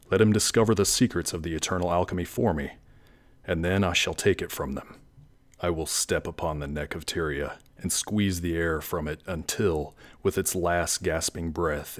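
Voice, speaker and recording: A man playing a cold, scheming, grandiose villain in an audio drama, theatrical and deliberate. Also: deep voice.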